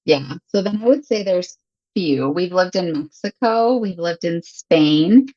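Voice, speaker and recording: A woman's voice: on the low side, animated, a little slow, dark tone, lo-fi sound. An American woman from Michigan in her thirties, chatting casually and laughing often as an interview guest. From Michigan, USA. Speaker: guest, English teacher. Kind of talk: casual conversation.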